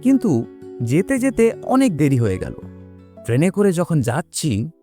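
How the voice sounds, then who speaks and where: A man playing a young man who recounts his own eerie experience in an expressive, suspenseful audio horror drama. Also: a little high, unhurried, faint music behind. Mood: suspenseful, earnest. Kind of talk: dramatic first-person audio horror storytelling.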